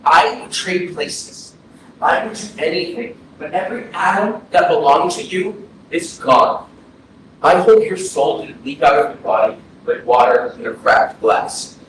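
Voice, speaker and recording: Heavy echo surrounds a high, earnest voice: a man acting a grieving brother on stage. He declaims poetic lines weighed with guilt, far from the mic over faint noise. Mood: mournful. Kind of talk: staged play reading, dramatic monologue. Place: small arts-centre stage, distant mic. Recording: very echoey stage, distant mic, noisy.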